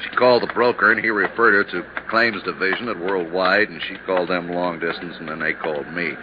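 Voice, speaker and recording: A man's voice: deep voice, fairly even intonation, a little fast, close to an everyday voice. An actor playing a big, blustery middle-aged insurance man in a 1950s radio drama, talking in a chummy, folksy way. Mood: businesslike, chummy. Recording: noisy, dull 1950s radio recording.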